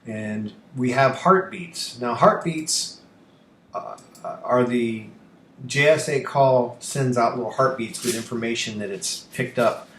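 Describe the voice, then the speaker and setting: The recording is roomy and echoey, with faint noise behind the voice. A man talks slowly at a medium pitch as he hosts an informal streamed tutorial. He rambles, thinks out loud and puts himself down in an offhand way. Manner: rambling, thinking aloud, self-deprecating. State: allergy coughing and throat-clearing. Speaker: livestream host and ham radio operator. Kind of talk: casual live-stream tutorial.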